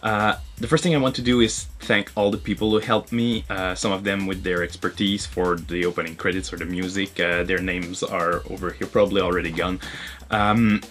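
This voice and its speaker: A man's voice: low voice, fairly even intonation, normal speed, a bit bright, soft background music. A man with a French Canadian accent, French his first language, hosting a casual vlog and talking hesitantly with many fillers. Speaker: host.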